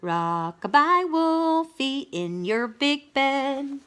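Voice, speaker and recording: A woman's voice: mid-range voice, animated, measured pace, pushed and bright, soft background music. A woman voicing a sly, hungry male wolf in a storybook, with theatrical, sing-song gloating. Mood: sentimental.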